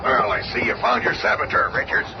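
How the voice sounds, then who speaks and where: Creaky, gruff army general. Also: male voice, mid-range voice, wildly swooping pitch, brisk. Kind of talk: 1970s radio drama acting. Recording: noisy, muffled old radio, distant.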